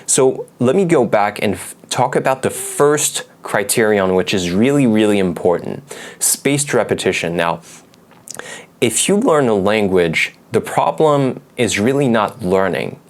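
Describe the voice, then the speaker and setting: Low-voiced man, casual teaching talk. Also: animated, measured pace. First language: not English. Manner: loose and conversational, many fillers and restarts. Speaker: language learning video host. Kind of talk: unscripted explanatory talk to camera.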